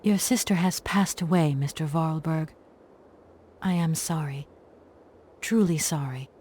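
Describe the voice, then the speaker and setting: A woman's voice: sits low, measured pace, mellow, breathy edge. An actress playing an earnest young professional woman, courteous and businesslike, in a video game. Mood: sympathetic.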